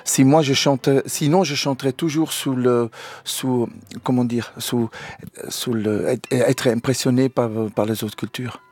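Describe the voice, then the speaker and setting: A man's voice: medium pitch, steady tone, a little slow, darkish tone, breathy edge, no room sound. A singer, speaking French in an interview and explaining his ideas earnestly while stumbling on words. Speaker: guest singer. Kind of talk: radio interview answers.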